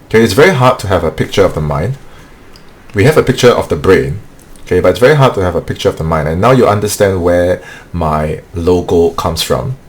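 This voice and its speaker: Deep-voiced man coaching sales. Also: animated, brisk. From Singapore. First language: not English. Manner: earnest, repetitive, peppers speech with "okay". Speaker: sales trainer hosting weekly live training. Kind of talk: motivational live-stream training.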